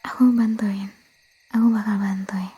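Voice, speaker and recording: An Indonesian woman playing a young vampire girlfriend who craves blood yet means no harm, speaking softly and hesitantly in an intimate, often whispered ASMR roleplay. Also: medium pitch, level tone, unhurried. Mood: apologetic, gently coaxing. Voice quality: breathy, soft. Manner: hesitant, stammering, self-correcting, coaxing.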